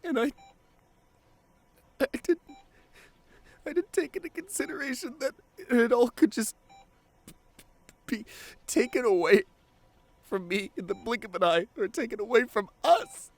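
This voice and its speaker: A man acting a devoted, guilt-ridden boyfriend at a bedside in an intimate ASMR roleplay, speaking tenderly and haltingly with a lot of emotion. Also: extremely high pitch, full character voice. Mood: regretful, distressed. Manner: tender, halting, emotional delivery with repeated phrases.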